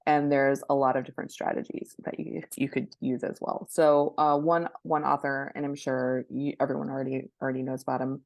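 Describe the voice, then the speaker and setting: A woman with a notably low voice talks as an expert guest in an interview. Her explanations are conversational, and the sound is dry with very little room in it. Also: little pitch movement, a little fast, vocal fry, few pauses, a little mellow, no echo. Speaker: guest book marketing expert.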